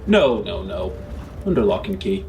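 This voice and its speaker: A man narrating an improvised tabletop roleplay as game master, with measured, formal, descriptive phrasing. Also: sits low, big pitch swings, darkish tone, soft background music.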